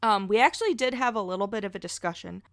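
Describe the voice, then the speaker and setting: A woman's voice: medium pitch, fast talker, a bit bright, no echo. Chatty woman on a dry, close podcast. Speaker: podcast guest. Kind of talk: casual podcast discussion.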